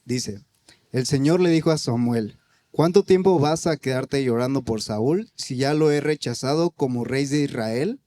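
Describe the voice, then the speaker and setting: A man reads a Bible passage aloud in church. His voice is mellow and dark, and his reading is steady. He speaks at a medium pitch for a man. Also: a little slow. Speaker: pastor reading scripture.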